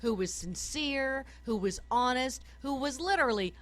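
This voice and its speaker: A woman with a hoarse voice from a summer cold, co-hosting a political talk radio show from home, ranting animatedly and laughing often as she talks. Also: high voice, lively intonation, moderate pace.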